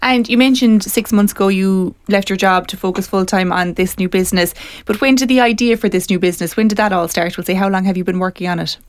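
A woman hosting an interview, talking quickly and warmly affirming her guest as she strings together long, rambling questions. Her pitch is middling and the sound is close and dry. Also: no room sound. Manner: encouraging, rambling questions with fillers. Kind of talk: radio-style interview questions.

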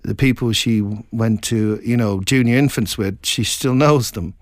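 Middle-aged male author from Scotland, mid-pitched, reflective and rambling interviewee. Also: no echo, studio quality. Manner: reflective, meandering, halting with many restarts. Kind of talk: casual interview chat.

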